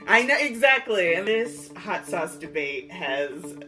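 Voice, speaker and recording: A woman's voice: on the low side, big pitch swings, takes it slowly, far from the mic, over music, rough recording. A woman hosting a podcast in a casual, enthusiastic, fannish and giggly chat. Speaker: podcast host.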